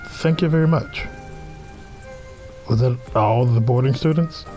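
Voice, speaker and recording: A man's voice: medium pitch, a little slow, warm tone, lo-fi sound. A man reading a visual novel aloud as a let's play host, dropping in informal asides and reactions. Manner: informal asides between read-out lines. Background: game music.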